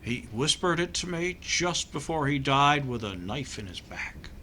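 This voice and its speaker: A man's voice: fairly high pitch, very animated, measured pace, bright, forceful tone, slight background noise, exaggerated voice. A man playing a flustered, earnest doctor turned amateur sleuth in a 1950s-style radio comedy, hesitant and stammering. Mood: hesitant, confiding.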